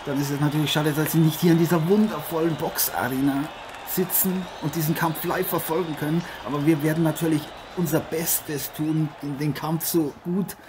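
A man's voice: on the high side, fairly even intonation, normal speed, natural voice. A man playing a chummy, slightly grandiose celebrity co-commentator in an improvised boxing audio drama. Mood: friendly, engaged. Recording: noisy arena ambience behind voice.

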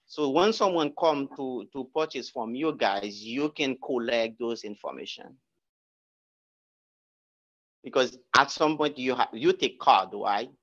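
A man giving a guest talk, answering audience questions in a lecture room, halting with many restarts and repeated words. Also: medium pitch, lively intonation, fairly bright tone, dull audio. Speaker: guest speaker.

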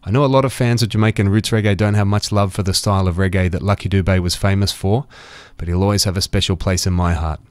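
Aussie guy doing a podcast intro, really low voice, laid back, dry sound. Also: fairly even intonation, fast, few pauses, no echo. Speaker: podcast host. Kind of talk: podcast introduction, relaxed narration.

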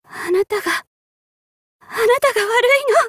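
A woman's voice: very high-pitched, animated, a little slow, a bit bright, no room sound, heavily acted. A woman voicing a timid, apologetic young girl warrior in a fighting game, meek and pleading. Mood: pleading, distressed. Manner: meek, pleading, breaking into cries and battle grunts. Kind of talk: anime fighting game voice acting.

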